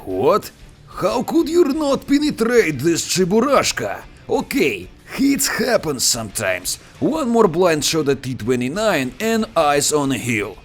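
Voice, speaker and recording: A man with a Russian accent commentates a tank battle replay in a playful, teasing way. His pitch swings widely from line to line, and his voice sits a little higher than most men's. Faint music plays underneath. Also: measured pace. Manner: playful, joking, expressive with sudden pitch jumps. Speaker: gameplay commentator. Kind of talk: scripted game commentary voice-over.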